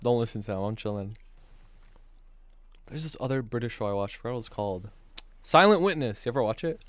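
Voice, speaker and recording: A young man with a clean, smooth voice of average pitch, recorded dry and close. He talks casually like a streamer, bluntly, swearing freely and leaning on slang. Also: animated, fast, dry recording. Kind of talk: casual chat.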